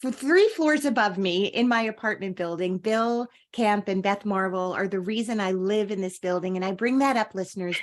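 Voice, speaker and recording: A woman hosts an interview podcast in a chatty, effusive way. Her voice sits at a middle pitch. She strings several questions together in one go. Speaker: podcast host. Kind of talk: interview hosting.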